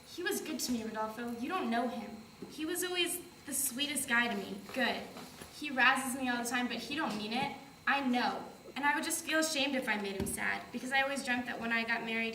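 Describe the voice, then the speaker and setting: An actress playing an anxious, devoted young American woman who pleads rapidly and emotionally. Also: on the high side, sharp tone. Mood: pleading. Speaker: stage actress. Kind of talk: stage play performance. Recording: roomy, distant mic, noisy.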